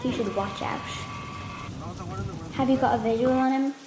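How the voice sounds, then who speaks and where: A woman's voice: sits high, steady tone, normal speed, darkish tone, close to an everyday voice. A woman acting a bossy spy directing her partner in an amateur radio drama. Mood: commanding. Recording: echoey, noisy amateur recording.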